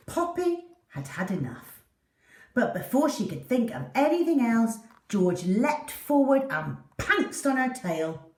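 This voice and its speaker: A woman narrates a children's storybook with animated drama. Her pace is slow and measured. The room around her voice is audibly echoey. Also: a little high, recorded from a distance.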